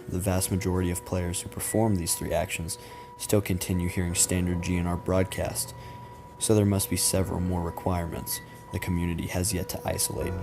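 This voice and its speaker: A man reading a scripted creepy story as narrator in a measured, matter-of-fact way. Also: sits low. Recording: music behind the voice. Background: background music.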